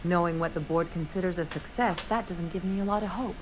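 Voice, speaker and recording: A woman's voice: lowish voice, brisk, a little hiss or hum, lightly acted, rough recording. A woman playing a wry, cynical crew companion in a video game, talking in a casual, sardonic, folksy way. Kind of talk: video game dialogue acting.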